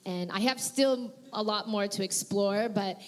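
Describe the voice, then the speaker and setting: A woman's voice: medium pitch, unhurried. A woman voice actress answering questions at a convention panel, chatty and rambling with frequent fillers and laughs.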